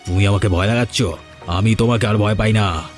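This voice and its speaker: Low, vengeful cartoon villain played by a man. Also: fairly even intonation, fairly quick, close to an everyday voice. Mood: angry, vengeful. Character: vengeful man threatening an old woman. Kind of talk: cartoon fairy-tale voice acting. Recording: background music under dialogue.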